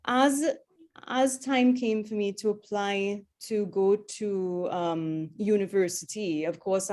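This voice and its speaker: A woman's voice: medium pitch, warm tone. A woman, speaking as the guest on a video call and telling her life story in a chatty way, with many ums and restarts. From Trinidad. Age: 30s. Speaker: guest speaker, airline pilot. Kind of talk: personal story talk.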